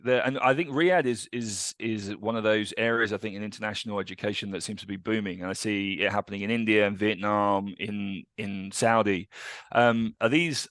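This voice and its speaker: A man's voice: fairly low pitch, dry recording. Guy hosting a podcast, chatty and stop-start, dry no-room sound. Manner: chatty, halting with many restarts and fillers. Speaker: podcast host. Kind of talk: casual podcast interview.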